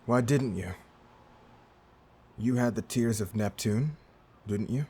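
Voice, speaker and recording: An actor playing an aged, humbled former pirate captain in an audio drama, husky and halting as he searches his memory. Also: mid-range voice, mellow. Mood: wondering. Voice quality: husky, breathy. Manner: slow, halting, questioning. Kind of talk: cinematic audio drama acting.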